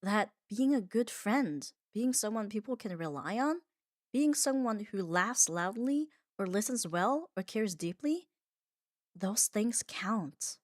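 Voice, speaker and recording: Female, mid pitch, calm and reassuring ASMR delivery, unhurried, very dry close sound. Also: dry recording. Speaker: ASMR audio creator. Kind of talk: calm ASMR comfort monologue.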